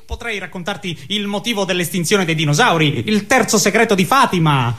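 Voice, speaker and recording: Guy doing a talking owl, high voice, swoopy, wordy and indignant, stage comedy. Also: expressive, fairly quick, a bit bright. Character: protesting, articulate talking owl. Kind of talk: absurd theatre sketch.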